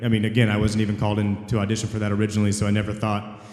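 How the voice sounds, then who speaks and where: Low-voiced man in an echoing hall. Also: monotone, a little mellow. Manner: earnest, humble, quick bursts between fillers. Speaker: voice actor panelist. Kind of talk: convention panel storytelling. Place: large convention hall. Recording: echoey hall with long reverb.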